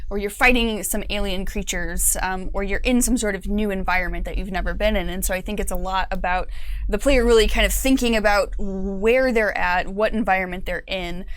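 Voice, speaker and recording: A woman in her thirties speaks on a small studio panel, explaining design ideas at length. Her voice sits at a middle pitch. She fills her sentences with ums and uhs, and a lapel mic picks her up. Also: fairly quick, dry recording. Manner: explanatory, with many fillers. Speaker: game designer. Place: small studio with curtain backdrop.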